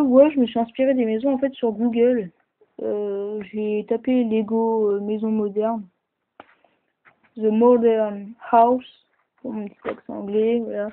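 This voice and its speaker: A woman chatting casually with lots of fillers as she shows off a toy model at home, filmed on a handheld camera held close. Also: on the high side. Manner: chatty, hesitant, many fillers. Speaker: toy builder showing a model. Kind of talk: casual show-and-tell narration. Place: indoors at home. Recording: tinny lo-fi camera audio, hissy.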